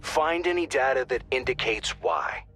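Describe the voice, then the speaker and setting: An actor playing a brisk male mission handler in a tactical game, directing a squad with dry, wry wit. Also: mid-range voice, expressive, noisy background, natural voice. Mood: brisk, businesslike. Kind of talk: scripted game mission briefing.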